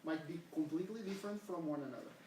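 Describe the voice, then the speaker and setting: A man's voice: a little high, very fast, dark tone. A middle-aged man from Portugal with a Portuguese accent, giving an earnest academic seminar talk in English and asking the audience questions. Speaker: education researcher presenting. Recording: echoey room, from far off, faint hiss.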